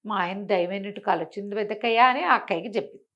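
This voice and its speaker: A woman narrates a folk tale for bedtime in an everyday, chatty style. Her voice sits at a middle pitch. She is expressive, often asking rhetorical questions and breaking into exclamations as she tells it. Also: rapid-fire, dull audio. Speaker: storyteller. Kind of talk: oral bedtime storytelling, conversational.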